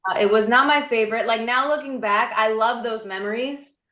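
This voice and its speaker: A woman's voice: fairly high pitch, a little fast, fairly bright tone. Chatty young woman in a roomy space. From United States, raised between Crete and New Jersey. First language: Greek. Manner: chatty, laughing, many fillers like "like" and "you know". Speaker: singer, interview guest. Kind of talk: casual interview chat.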